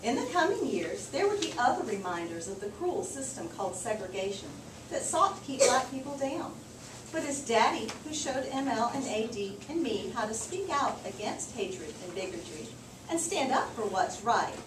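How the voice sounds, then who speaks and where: A woman's voice: on the high side, big pitch swings, normal speed. A woman teacher reading aloud and talking with a group of children. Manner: repeats and affirms listeners' answers. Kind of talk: storybook read-aloud and class discussion. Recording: echoey, noisy room, distant microphone.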